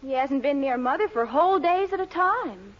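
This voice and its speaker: Very high-voiced, worried young American woman. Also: lively intonation, moderate pace, very noisy. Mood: worried, suspicious. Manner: earnest, dramatic, insistent. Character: worried, bossy young woman, a suspicious daughter. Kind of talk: 1940s radio sitcom acting. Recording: muffled 1940s radio recording, studio audience.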